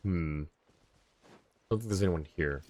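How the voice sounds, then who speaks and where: Male game streamer, low register, dry close sound; easygoing, self-muttering live commentary. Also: normal speed, darkish tone, no room sound. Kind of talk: casual live gameplay commentary, chatting with viewers and reading dialogue aloud.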